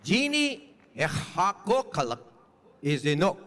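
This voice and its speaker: A man speaks solemnly to the public as an event co-host, reading from a script. His voice sits high for a man and moves with a lively, wide-ranging melody. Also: takes it slowly, a faint crowd, slight background noise.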